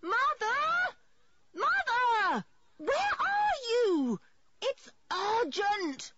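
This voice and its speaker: A very high-pitched, hot-tempered young girl acted by a woman in a storybook reading, urgent and emotional. Her voice swoops wildly, and the recording sounds slightly dull. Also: big pitch swings, unhurried, very noisy, full character voice. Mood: urgent yelling. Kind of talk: audiobook character voice.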